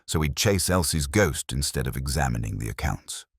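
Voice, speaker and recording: A man playing a quiet, shrewd police inspector with a measured, probing manner in an audio mystery story. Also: extremely low pitch, animated, breathy edge, dry recording, studio quality, full character voice. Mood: assured.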